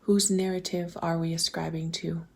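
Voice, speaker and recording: Woman doing guided visualization, soft breathy almost whispery, lots of vocal fry, really mellow, gentle questions. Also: lowish voice, little pitch movement. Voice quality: soft, breathy, often slipping toward a whisper. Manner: gentle, deliberate, posing open questions with pauses. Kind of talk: slow guided meditation, reflective questions.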